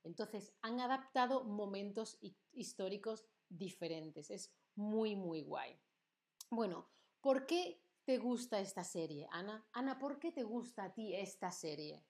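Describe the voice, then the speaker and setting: A woman's voice: mid-range voice, animated, unhurried, a little mellow. A young woman from southern Spain who uses a neutral standard accent and teaches Spanish on a live stream, talking to viewers and reading out their chat messages. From Andalusia, Spain. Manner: chatty, asks viewers questions and reads out chat. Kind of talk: live-stream language lesson.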